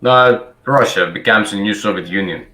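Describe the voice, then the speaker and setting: A man's voice: on the low side, moderate pace, bright, forceful tone, roomy sound. A man from Greece, talking to a stranger in a casual video chat, hesitant and full of fillers.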